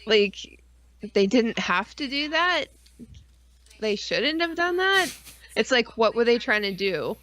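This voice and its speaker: A woman's voice: a little high, big pitch swings, slow, pauses often, sharp tone, no room sound. A woman co-hosting a casual live-stream chat, rambling with geeky enthusiasm, slang and frequent swearing. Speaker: podcast co-host.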